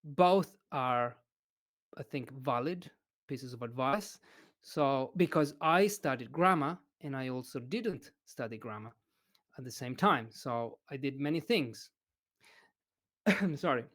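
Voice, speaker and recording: A man from Italy with an Italian accent, an English teacher chatting as a podcast guest in a hesitant, expressive way. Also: medium pitch, slow pace, pauses often. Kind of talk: casual interview chat.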